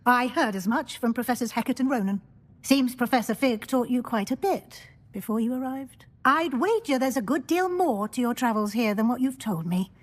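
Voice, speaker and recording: A woman's voice: on the high side, lively intonation, normal speed. A woman playing a stern, formal, authoritative wizard-school professor in a video game. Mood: brisk, stern.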